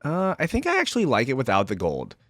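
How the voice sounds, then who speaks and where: Man with animated, swinging pitch, reacting casually. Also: fast, dry recording, clean studio sound. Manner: mulls aloud, informal, opinionated. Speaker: reaction video host. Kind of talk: casual unscripted reaction commentary.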